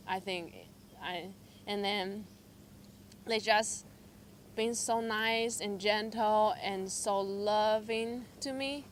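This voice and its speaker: Young woman from taiwan, english speaker, personal testimony, lots of ums and likes, slight background noise. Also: medium pitch. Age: young adult. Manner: hesitant, filler-heavy storytelling with lively quoted asides. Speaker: church member sharing testimony.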